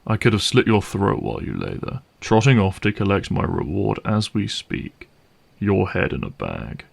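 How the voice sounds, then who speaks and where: Guy doing a vampire hunter for audio roleplay, really creaky low-ish voice, slow, sardonic, menacing. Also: wildly swooping pitch, exaggerated voice. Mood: mocking, contemptuous. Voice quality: gravelly, creaky. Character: cynical, menacing male vampire hunter interrogating a captive.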